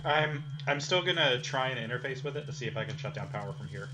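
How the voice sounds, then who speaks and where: Fast-talking man, a tabletop player. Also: mid-range voice, animated. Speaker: player in a tabletop role-playing game. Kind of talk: casual game-table discussion. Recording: noisy group recording with room ambience.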